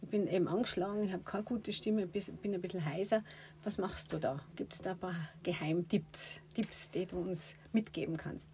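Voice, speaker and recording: A woman, slightly hoarse from a cold, chatting as she asks an interviewee questions. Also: lowish voice, normal speed, dark tone. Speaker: interviewer, host. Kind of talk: casual interview.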